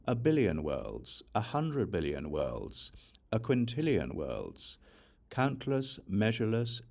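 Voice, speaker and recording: A man reads a sacred text aloud as an audiobook, in measured, solemn phrases with deliberate pauses. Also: low-pitched, vocal fry, a little mellow. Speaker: audiobook reader of scripture. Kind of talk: scripted reading of a Buddhist sutra.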